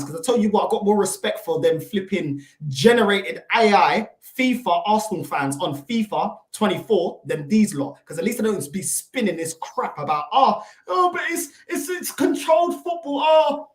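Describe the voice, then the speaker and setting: A woman's voice: mid-range voice, roomy sound. A woman on a remote call to a football fan panel, ranting in a fiery, mocking way and throwing in Portuguese insults. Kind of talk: heated fan rant on a panel show.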